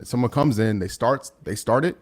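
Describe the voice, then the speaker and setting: A man's voice: mid-range voice, moderate pace. A man co-hosting a sports podcast, chiming in with casual conversational remarks. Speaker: podcast co-host.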